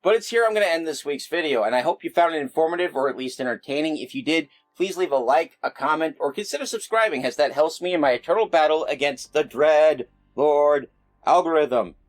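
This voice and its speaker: A man's voice: on the high side, lively intonation, fairly quick. A man hosting an informal explainer video, speaking in a conversational, self-deprecating way. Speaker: YouTube host of mythology and folklore videos.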